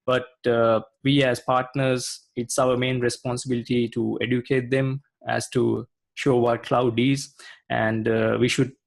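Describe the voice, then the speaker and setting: A man's voice: mid-range voice, flat delivery, unhurried, vocal fry. A man from Sri Lanka speaking as a guest in a casual video-call interview, hesitant and full of fillers. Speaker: guest, IT consultant.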